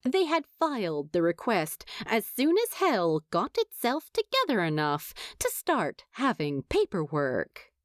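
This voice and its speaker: A woman narrating scripted fan fiction as an expressive storyteller. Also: medium pitch, a little slow, few pauses, dry recording, studio quality.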